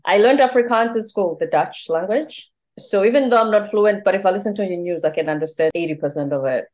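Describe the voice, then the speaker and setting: A woman's voice: medium pitch, fairly quick, darkish tone. A woman, a Zulu speaker, hosts a conversation. She affirms her guest often and talks in an easy, conversational way. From South Africa. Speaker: interview host. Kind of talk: conversational interview.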